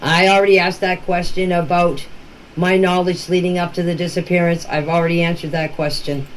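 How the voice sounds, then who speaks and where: A woman's voice: fairly low pitch, level tone, a little fast. Older woman, noisy voice message. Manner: plain-spoken, emphatic, repeats herself. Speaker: relative speaking about a missing-children case. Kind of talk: informal personal voice-message talk. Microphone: phone voice message. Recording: noisy amateur voice-message recording.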